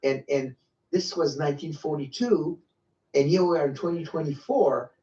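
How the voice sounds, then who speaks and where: A man's voice: on the high side, warm tone. French-accented man in his sixties, faltering. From France. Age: 60s. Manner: halting, with many repeated words and restarts. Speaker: guest. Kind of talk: personal testimony in an interview. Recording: echoey, distant, dull lo-fi sound.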